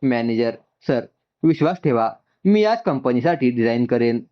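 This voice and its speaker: A man voicing an earnest young woman designer who pleads with her boss, his pitch lifting a little. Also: lively intonation, moderate pace, muffled recording, lightly acted. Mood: pleading.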